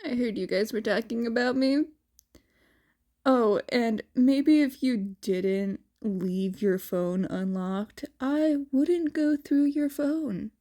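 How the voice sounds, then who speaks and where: Cheeky, needling teenage boy played by a woman reader, higher in pitch, for narrated fiction. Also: slow pace, mellow. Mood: smug. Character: cheeky, nosy teenage boy sleuth, pleading and teasing.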